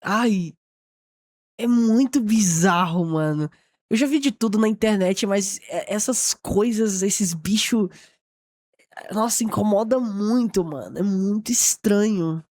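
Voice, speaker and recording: A woman's voice: mid-range voice, slow pace, darkish tone, no echo. Casual Brazilian woman reacting, dry sound. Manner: informal, slangy. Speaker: reaction video host. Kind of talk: casual spoken reaction commentary.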